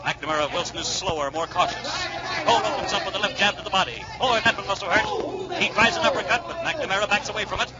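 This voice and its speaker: Rapid-fire high-pitched 1940s boxing announcer. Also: male voice, lively intonation. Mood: tense, puzzled. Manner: rapid-fire, dramatic, emphatic repetition. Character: excited male boxing announcer calling a school fight. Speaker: ringside sports announcer. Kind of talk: 1940s radio blow-by-blow commentary. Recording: muffled, noisy 1940s radio, roaring crowd.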